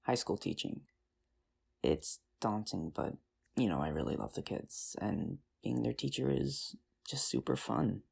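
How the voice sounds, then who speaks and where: A performer in an ASMR roleplay playing a nerdy, earnest high school teacher, rambling with hesitant, self-deprecating charm in an intimate, conversational way. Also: big pitch swings, a little slow, gravelly, darkish tone, slightly rough, exaggerated voice. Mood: thoughtful, candid.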